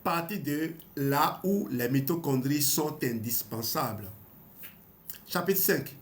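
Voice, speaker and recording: A man's voice: fairly high pitch, lively intonation, slow pace. Man in his fifties reading aloud. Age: 50s. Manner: hesitant, with restarts and small laughs. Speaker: health channel host. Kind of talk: reading a book aloud with commentary.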